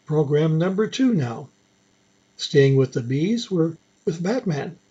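A man's voice: on the high side, animated, slow pace, warm tone, muffled recording. A man presenting old radio programs in an informal, musing, conversational way.

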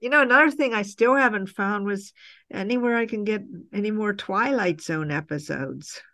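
A woman's voice: mid-range voice, moderate pace, fairly bright tone. A woman talking casually in a group conversation.

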